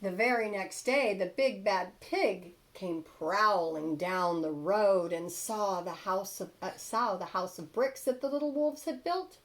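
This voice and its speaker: Female teacher-type storyteller: unhurried, highly animated read-aloud for children. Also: poor audio. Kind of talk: expressive children's storybook narration.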